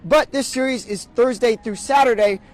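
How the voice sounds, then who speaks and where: A man's voice: very high-pitched, moderate pace. A young man doing sports broadcast commentary as a play-by-play announcer and reporter. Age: young adult.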